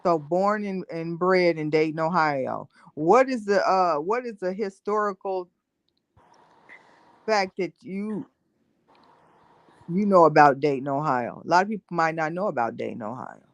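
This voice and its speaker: A woman's voice: fairly low pitch, unhurried, no room sound. A woman hosting a podcast, chatting casually and jumping in with questions. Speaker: podcast host. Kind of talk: casual chat.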